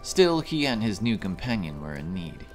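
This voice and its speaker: A man's voice: lowish voice, very animated, normal speed, faint music behind. A man narrating a scripted fantasy adventure story as the narrator of an audio drama.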